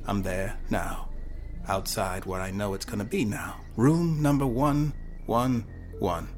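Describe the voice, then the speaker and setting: A man performing a dramatic first-person story as a troubled, intense teenage boy with foresight, in a halting, deliberate manner with dramatic pauses. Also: fairly low pitch. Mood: brooding, tense. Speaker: writer performing own short story. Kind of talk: dramatic first-person monologue, flash fiction podcast.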